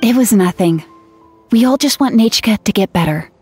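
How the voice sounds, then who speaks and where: A woman's voice: medium pitch, animated, moderate pace, a little mellow, husky, faint music behind. A woman voicing a friendly young tribeswoman in a video game, speaking in an earnest, persuasive way.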